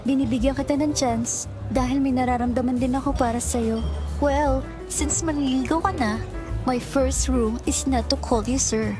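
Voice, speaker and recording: A woman playing a lively, chatty young office assistant who teases her coworkers in an audio drama. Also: high voice, normal speed, close to an everyday voice. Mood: earnest. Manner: bright, chatty and teasing. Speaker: lead character and narrator. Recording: music bed under voice. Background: romantic background music.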